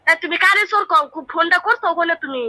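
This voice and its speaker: A woman with a high, sharp voice speaks quickly in rural Bangladeshi dialect. She is indignant and challenging, repeating her questions in a heated phone exchange. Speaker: prank call recipient.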